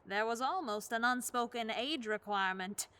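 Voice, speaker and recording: A woman playing an elderly widowed neighbor in her seventies in a radio play, speaking gently and plainly with a wry edge. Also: on the high side, moderate pace, clean tone. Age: 70s. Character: kindly widowed old woman neighbor, churchgoing.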